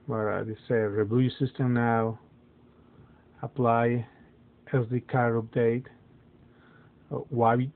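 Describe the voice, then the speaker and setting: A man whose first language is Spanish explains a phone reset very slowly, stopping for fillers and restarting his sentences. His voice is mid-pitched and sounds thin and tinny, as if it came through a phone line, recorded by a handheld camera in a dim room. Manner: halting, many fillers and restarts, non-native phrasing. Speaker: phone tutorial presenter. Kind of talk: casual how-to walkthrough. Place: dim indoor room, phone filmed in hand.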